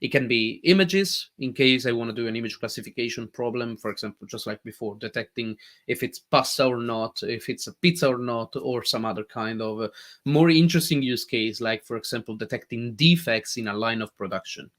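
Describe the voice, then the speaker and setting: Man, italian accent, native italian speaker, live software demo, eager and animated, mid-pitched voice. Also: a little fast. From Italy. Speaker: tech presenter.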